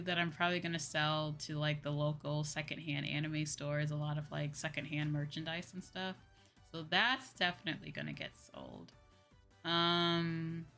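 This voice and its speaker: Bright-voiced young woman streamer. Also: lowish voice. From United States. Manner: slangy, chatty, exclamatory. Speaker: VTuber livestreamer. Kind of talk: casual livestream chat. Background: background music.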